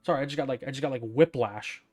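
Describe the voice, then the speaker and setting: A woman's voice: a bass voice, quick pace, a little mellow, husky. A young woman giving casual, sweary let's-play commentary, sarcastic and incredulous. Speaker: game streamer. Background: game music.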